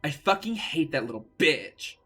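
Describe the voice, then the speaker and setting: A man voicing a melodramatic, petulant goth teenage girl in a storybook reading, talking high, whiny and indignant. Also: big pitch swings, moderate pace, pushed and bright, husky, soft background music, full character voice. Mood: defiant.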